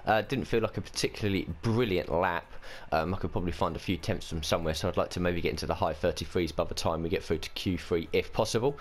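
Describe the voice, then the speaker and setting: A man hosting a racing game let's-play, chatting casually and thinking aloud, his voice croaky and hoarse from a cold. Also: low-pitched, quick pace, hardly any pauses. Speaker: gaming channel host.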